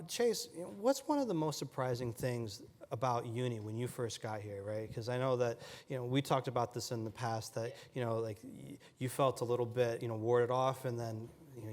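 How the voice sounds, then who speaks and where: A man's voice: medium pitch, darkish tone. Male panel host, casual and encouraging, with the long reverb of a lecture hall. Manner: encouraging, asks questions, uses "you know" and "right".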